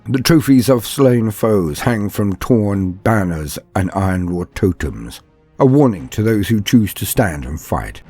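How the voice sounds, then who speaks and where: A man delivering dramatic scripted narration in a grave, theatrical manner with deliberate emphasis. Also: lowish voice, a little mellow. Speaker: narrator of a fantasy war lore channel.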